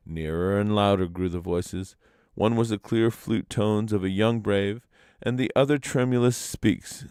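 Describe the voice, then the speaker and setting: Guy reading old legends out loud, lowish voice, pretty dry sound. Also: clean tone, no echo. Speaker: storyteller and reader. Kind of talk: live storybook narration of legends.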